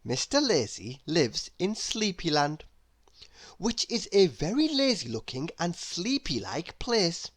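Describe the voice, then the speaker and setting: Slow, lilting woman reading a children's story. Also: on the low side. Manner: expressive, unhurried storytelling. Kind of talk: children's read-aloud narration.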